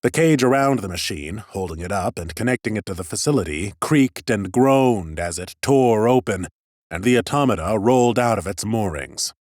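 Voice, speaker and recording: A man reading expressive first-person scripted narration as an audiobook narrator. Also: lowish voice, moderate pace, no echo, clean studio sound.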